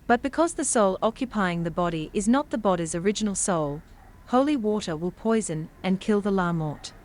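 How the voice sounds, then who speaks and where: Actress as dry, animated monster expert woman. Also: medium pitch, moderate pace, natural voice. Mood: matter-of-fact. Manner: dry, exasperated, matter-of-fact. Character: weary, knowing female comic shop monster expert. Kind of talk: comedy horror audio drama acting.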